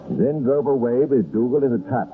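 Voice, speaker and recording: A man narrating an old radio crime drama in a measured, wry and ominous manner. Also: mid-range voice. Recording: tinny, noisy old radio recording.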